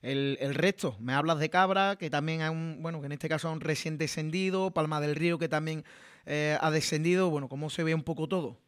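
A man's voice: fairly high pitch, fairly quick, a bit bright, clear, resonant tone, no echo, studio quality. Chatty man hosting sports radio. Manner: chatty, many fillers.

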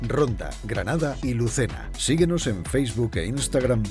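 A man reading a radio advertisement in a measured way. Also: medium pitch, animated. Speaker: ad announcer. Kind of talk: radio ad read. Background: music.

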